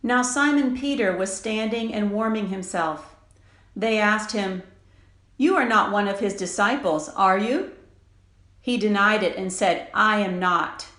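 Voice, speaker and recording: A woman's voice: mid-range voice, measured pace, fairly bright tone. A woman reading a Gospel narrative aloud from a script as a church reader. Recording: roomy, echoing sound.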